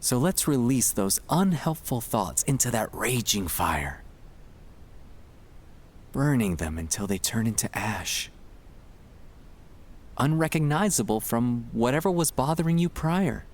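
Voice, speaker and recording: A man speaking in a gentle, soothing, reassuring way, delivering a scripted ASMR guided relaxation to help the listener sleep. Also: medium pitch, big pitch swings, unhurried, pauses often.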